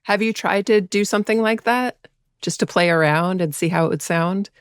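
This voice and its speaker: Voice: medium pitch, moderate pace, clean tone, dry recording, studio quality. A podcast host asking curious interview questions and giving short, affirming replies.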